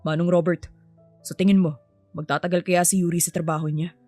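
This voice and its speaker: A woman's voice: lowish voice, level tone, a little fast, warm tone, no room sound, soft background music, voice pushed down, close to an everyday voice. A woman voicing a serious, terse male boss and father in a dramatised story, curt and dry.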